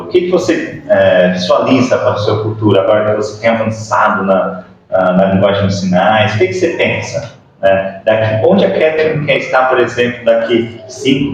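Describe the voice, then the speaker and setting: A man's voice: fairly low pitch, moderate pace. A Brazilian man hosting a podcast, interviewing conversationally and hesitantly, often rephrasing as he goes. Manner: hesitant, repeats and rephrases questions. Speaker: podcast host. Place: echoey room, far from the microphone. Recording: very echoey, distant, muffled, faint noise.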